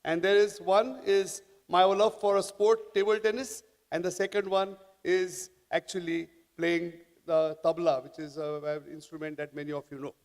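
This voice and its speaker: A man speaks to a conference audience, his voice pitched a little high. He talks haltingly and often restarts his phrases. His voice carries a long reverb from a large hall. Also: fairly even intonation. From India. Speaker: panel speaker. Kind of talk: conference talk.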